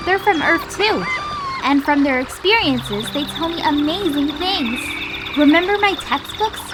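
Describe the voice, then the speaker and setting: A woman voicing a gentle, hopeful young girl in a comic dub, speaking tenderly and earnestly with a wistful manner. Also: high-pitched. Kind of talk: scripted comic dub voice acting. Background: music.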